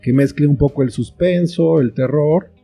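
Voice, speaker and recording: Mellow, dark-toned man explaining. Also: a little high, normal speed, vocal fry, soft background music. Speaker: guest, children's book author and screenwriter. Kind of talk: conversational interview, explaining with examples.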